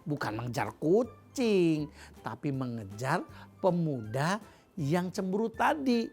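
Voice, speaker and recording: An Indonesian woman narrating a children's fairy tale in an animated, expressive way with dramatic pauses. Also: deep voice. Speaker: children's storyteller. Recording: music behind the voice. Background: music.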